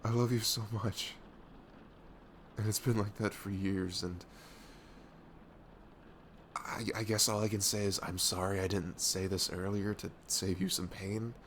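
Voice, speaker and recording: A man playing a tender, nervous best friend in an intimate ASMR roleplay, speaking softly and hesitantly in a low, gravelly voice close to the mic. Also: flat delivery, a little slow, pauses often, slight background noise. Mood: overjoyed. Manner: hesitant, stammering, soft-spoken.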